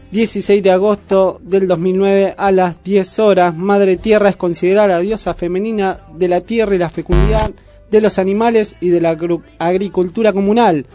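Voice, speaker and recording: A man's voice: sits high, darkish tone. A man with a Buenos Aires accent making casual announcements as co-host of a community radio show. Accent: Argentine, Buenos Aires.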